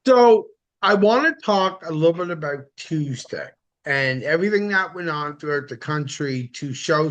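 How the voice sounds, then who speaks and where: Measured male podcast co-host. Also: fairly high pitch, fairly bright tone. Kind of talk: casual podcast hosting.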